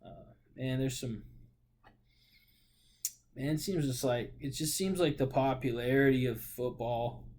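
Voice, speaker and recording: A man's voice: mid-range voice, measured pace, pauses often, darkish tone, slight background noise. A man in his late twenties from Oregon, talking casually about his life in a rambling monologue full of fillers and self-corrections. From Portland, Oregon. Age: 20s. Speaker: vlogger.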